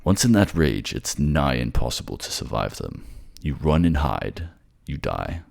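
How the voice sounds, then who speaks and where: A cruel, jealous king of fantasy audio drama, played by a man, brooding and proud. The voice is extremely low and full of gravel, with words bitten off curtly. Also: sing-song, darkish tone, exaggerated voice. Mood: grim. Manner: curt, brooding, halting.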